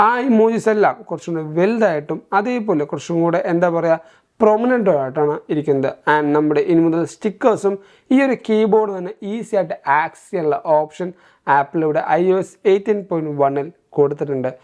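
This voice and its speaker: A man's voice: fairly high pitch, a little mellow. A man with a Malayali accent, hosting a tech walkthrough in an explanatory, step-by-step way and mixing in English terms. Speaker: tech reviewer, host. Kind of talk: scripted-feeling tech tutorial narration.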